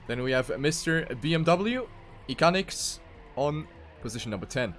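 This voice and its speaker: A man's voice: on the high side, expressive, normal speed, fairly bright tone. A man doing live commentary on an esports racing game as one of the casters. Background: music.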